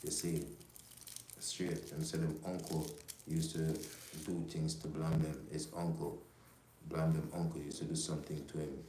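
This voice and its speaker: A man's voice: a bass voice, little pitch movement, moderate pace, dark tone, reverberant, slight background noise, poor audio. A man from Jamaica who grew up in the US, hosting a rambling, profane livestream full of street talk and constant "you know what I'm saying.". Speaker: livestream host. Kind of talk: casual livestream monologue.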